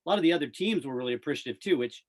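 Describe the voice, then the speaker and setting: A man's voice: a little high, expressive, very fast. A man speaking as a radio play-by-play announcer in a casual, enthusiastic sports interview.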